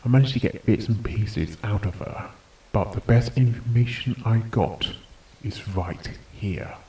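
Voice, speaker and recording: A man voicing a stern, short-tempered pony doctor on a case, speaking seriously and deliberately in a fan fiction audio drama. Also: lowish voice, a little mellow, recorded from a distance, poor audio. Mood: grave.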